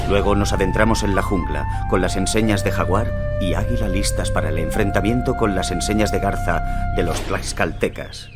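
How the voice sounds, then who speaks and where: A man with a Castilian Spanish accent playing a grave Aztec jaguar warrior, narrating his campaigns in a measured way for a strategy game cutscene. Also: deep voice. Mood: grave, foreboding. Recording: music under the voice. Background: music.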